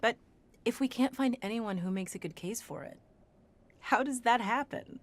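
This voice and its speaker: A woman's voice: fairly high pitch, moderate pace, lightly acted. A woman playing a thoughtful, exasperated researcher, articulate and opinionated, in a conversational audio drama.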